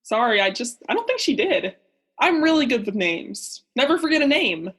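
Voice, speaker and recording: A woman's voice: on the high side, big pitch swings, normal speed, fairly bright tone, husky, heavily acted. A young woman playing a naive, oblivious male oceanographer in a student radio play, earnest and melodramatic. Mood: bewildered, defensive. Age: young adult.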